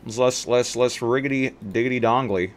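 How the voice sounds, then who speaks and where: A man hosting a livestream, chatting casually about games in an excitable, jokey way. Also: mid-range voice, normal speed. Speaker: livestream host. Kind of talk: casual game-stream chat. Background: music.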